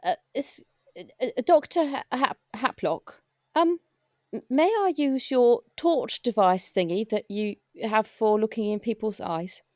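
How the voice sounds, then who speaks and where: A timid, deferential hospital porter, played by a woman in a comic audio drama. Her voice sits fairly high and is recorded very dry, with a polite, stumbling delivery. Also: animated, measured pace, no room sound. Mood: nervous, polite. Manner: hesitant, stammering, polite.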